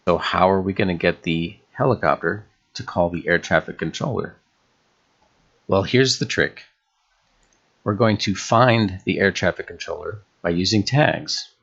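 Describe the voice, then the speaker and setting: Very low, gravelly man explaining code. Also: fairly bright tone, dull audio. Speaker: game development tutorial host. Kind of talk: instructional screencast narration.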